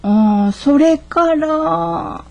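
A woman's voice: sits high, animated, extremely slow, gravelly, a little mellow, dull audio, exaggerated voice. A woman playing a fussy, nostalgic mother of a grown son in a radio drama. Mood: fussing, nostalgic. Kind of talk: naturalistic radio drama acting.

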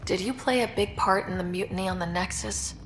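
An actress playing a cool, plucky young space explorer in a video game, speaking in a dry, direct, unfazed way. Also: female voice, medium pitch, brisk, slight background noise, natural voice. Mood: dry, curious. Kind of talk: video game character dialogue.

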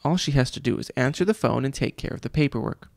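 Man voicing a pompous, gravelly school vice principal. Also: mid-range voice, fast talker, a little mellow, no echo, clean studio sound, natural voice. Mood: pompous, scolding. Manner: condescending, mocking. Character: pompous, cruel male school vice principal, self-declared genius.

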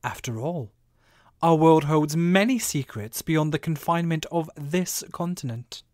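Solemn fantasy sage, played by a man: formal, wise, slow and measured. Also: a little high, animated, darkish tone, dry recording, clean studio sound, pitched above the performer's own voice.

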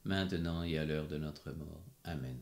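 A man with a very deep voice recites rosary responses in an even, measured way. The sound carries the echo of a reverberant room. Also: gravelly. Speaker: prayer responder. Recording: echoey room.

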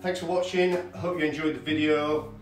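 A man's voice: a little high, steady tone, moderate pace. Chatty man in a roomy showroom. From England. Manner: chatty, hesitant with fillers and restarts; moving about while lifting and unpacking. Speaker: hi-fi shop owner. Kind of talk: casual product unboxing demo. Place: large carpeted listening showroom indoors. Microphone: camera mic from across the room. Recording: roomy showroom sound from far off with music.

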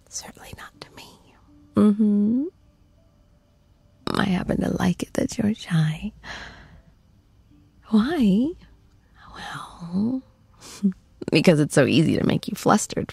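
A woman acts out an adoring, playful girlfriend in an intimate ASMR roleplay. She coos in a breathy voice that often falls into whispers, very creaky at the edges. Her pitch swoops affectionately, and the sound is close and dry. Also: a little mellow, no echo, exaggerated voice. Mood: soothing, concerned. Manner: cooing, playful, slow and tender. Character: affectionate, teasing young girlfriend comforting her shy boyfriend.

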